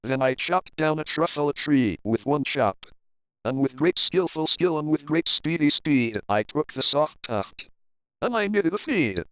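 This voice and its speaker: Clear-voiced man reading a storybook. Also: a little high, expressive, a little slow, dry recording, dull audio. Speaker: storybook narrator. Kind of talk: expressive children's read-aloud of rhyming story.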